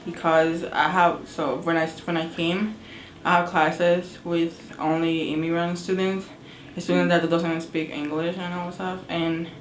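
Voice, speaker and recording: A sixteen-year-old girl from El Salvador answering interview questions haltingly, repeating words in simple, English. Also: fairly low pitch, reverberant, a little hiss or hum, low-quality recording. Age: teens.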